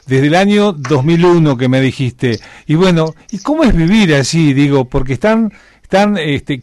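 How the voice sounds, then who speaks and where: An Argentine man conducts an interview with a slightly raised pitch. The sound is dry and close, with no room around it. He questions patiently and often answers with short affirmations. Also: darkish tone, dry recording. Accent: Argentine Spanish. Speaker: radio interviewer.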